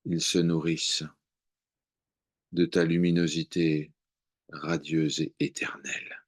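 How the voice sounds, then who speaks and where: A man reciting devotional poetry in a measured, contemplative way, with long pauses between short phrases. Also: extremely low pitch, flat delivery. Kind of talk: slow devotional poem recitation.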